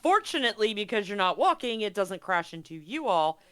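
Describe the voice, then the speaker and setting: A game master improvising narration for a tabletop game, with dramatic pauses and drawn-out emphasis. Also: medium pitch, very animated, bright, forceful tone, clean tone.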